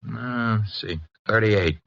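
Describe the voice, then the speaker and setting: A man's voice: takes it slowly, creaky, a bit bright, heavily acted. An actor playing a breezy, flippant private eye's legman with an American accent in 1950s radio drama style. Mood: wry. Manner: flippant, wry, swoops in pitch. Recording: dull vintage 1950s radio recording.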